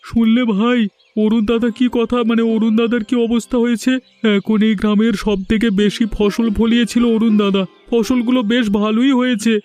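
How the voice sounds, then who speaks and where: A man's voice: very high-pitched, level tone, a little fast, darkish tone. A man playing a gossiping village man in a Bengali cartoon. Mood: gossipy.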